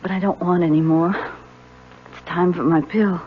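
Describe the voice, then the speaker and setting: An actress playing an ailing, dependent wife, gentle, weary and plaintive, in a 1970s radio drama. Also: female voice, lowish voice, fairly even intonation, normal speed, lightly acted. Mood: weary, grateful. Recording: dull, faintly noisy 1970s radio recording.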